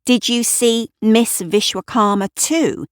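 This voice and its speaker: A woman voicing a brisk, steely policewoman in an audio drama, questioning a witness directly. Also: a little high, no room sound, studio quality, pitched above the performer's own voice. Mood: brisk, probing.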